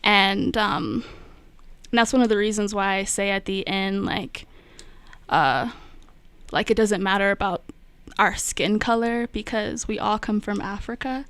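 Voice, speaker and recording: A young woman, a poet and radio guest, talking slowly and reflectively in a studio with many pauses and fillers. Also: mid-range voice, a bit bright, clear, resonant tone, no echo. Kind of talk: spoken word poetry and casual radio talk.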